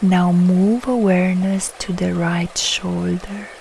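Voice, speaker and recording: A woman guiding a yoga nidra relaxation meditation, speaking in soft, soothing, unhurried short phrases with long pauses. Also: lowish voice, fairly even intonation, gravelly, a little hiss or hum, poor audio. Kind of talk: slow guided relaxation meditation.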